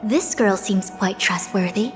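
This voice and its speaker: A woman's voice: mid-range voice, close to an everyday voice. A woman voicing a composed, haughty young seer in a video game, speaking formally with dry authority. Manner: formal, measured, dryly imperious. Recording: long hall reverb, game music behind. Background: game music.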